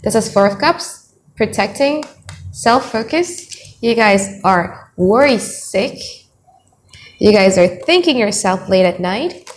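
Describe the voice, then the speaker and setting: A woman's voice: medium pitch, lively intonation, slow pace, slight background noise. A young woman from Indonesia with an Indonesian accent, giving a blunt, chatty tarot reading to camera from home. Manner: chatty, blunt, thinks aloud while laying out cards. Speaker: tarot reader. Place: home room, children heard nearby.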